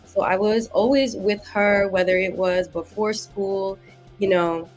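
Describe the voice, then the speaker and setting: A woman's voice: mid-range voice, steady tone, unhurried, soft background music, low-quality recording. A young woman from Massachusetts telling her story casually as a podcast guest, informal and wordy, often rephrasing herself. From Massachusetts, United States. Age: young adult. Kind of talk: casual personal storytelling in an interview.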